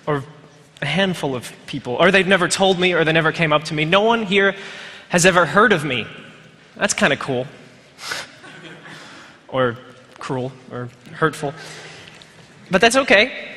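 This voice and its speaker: Man in his twenties, fairly high, forceful bright voice, joking and rambling talk, reverberant lecture hall. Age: 20s. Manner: rambling, wry, full of fillers and asides. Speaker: conference speaker. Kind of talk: self-deprecating joking talk.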